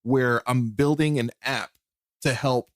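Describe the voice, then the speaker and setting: A man's voice: mid-range voice, fairly even intonation, slow pace, no echo, clean studio sound. A young man co-hosting a podcast, chatting casually with a rambling, joking manner and many restarts. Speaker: podcast co-host. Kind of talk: casual chat.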